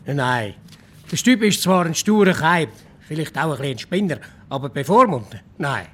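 Village official in radio play, swiss german accent, high lively voice, blunt n practical. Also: male voice, normal speed. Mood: dismissive, protective. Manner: blunt, matter-of-fact. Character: plain-spoken, pragmatic male village official, exasperated but fair.

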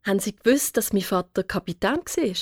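A woman's voice: medium pitch, animated, a little fast, lightly acted. A woman playing a wistful, reminiscing mother in a children's audio story. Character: mother reminiscing about her seafaring father.

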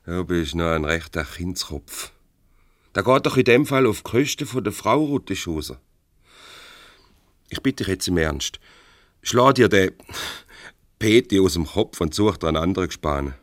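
A man's voice: low-pitched, expressive, normal speed, pauses often, no room sound, clean studio sound. A man playing a sceptical, needling confidant in a Swiss German radio comedy, with a sardonic, admonishing way of talking. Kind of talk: naturalistic 1970s radio comedy acting.

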